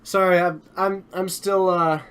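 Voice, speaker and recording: A man hosting and commentating a live card game stream, chatty and self-deprecating, while slowly losing his voice. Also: sits high, little pitch movement, fairly bright tone, clear, resonant tone.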